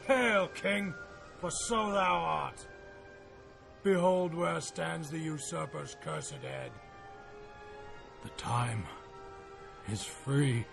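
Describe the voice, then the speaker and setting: An actor playing a grieving, vengeful warrior lord in a Shakespearean radio drama, declaiming verse with fierce defiance. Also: male voice, high-pitched, vocal fry, full character voice. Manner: slow, declamatory verse delivery. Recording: loud dramatic music behind the voice.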